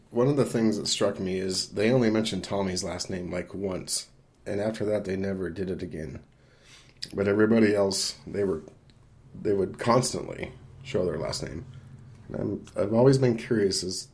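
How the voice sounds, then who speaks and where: A man co-hosting a podcast, discussing films casually and offering measured opinions. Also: on the low side, darkish tone. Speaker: podcast co-host.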